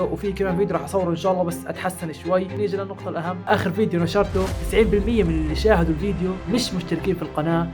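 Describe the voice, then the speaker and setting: Young male football vlogger, Jordanian-Palestinian Arabic accent, ill and tired, rattles along very fast over faint music. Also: high voice. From Palestinian-Algerian, living in Jordan. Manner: chatty, eager, addresses viewers as brothers. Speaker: football YouTuber. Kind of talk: casual chat to camera.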